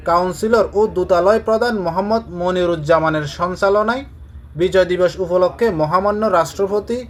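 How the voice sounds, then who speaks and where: High-voiced Bangladeshi man reporting news. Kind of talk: scripted news narration.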